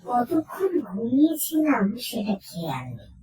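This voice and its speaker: A woman narrating a first-person horror story in a suspenseful, theatrical way with dramatic pauses. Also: on the high side, wildly swooping pitch, dark tone. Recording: roomy echo, distant from mic.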